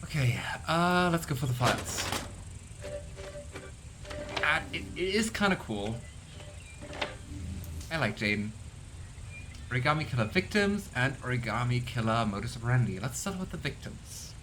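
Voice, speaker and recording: A young man casually commenting on a game he plays, musing aloud and unsure, often trailing into low mumbles. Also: very animated, normal speed, long pauses, noisy background. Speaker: let's play host.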